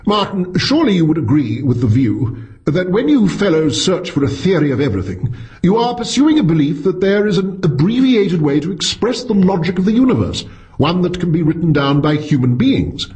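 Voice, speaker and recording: A man's voice: sits high, very animated, fairly quick, darkish tone, voice pushed up. A man voicing an intense, reedy philosophy professor who lectures with smug energy, in an audiobook story. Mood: pointed.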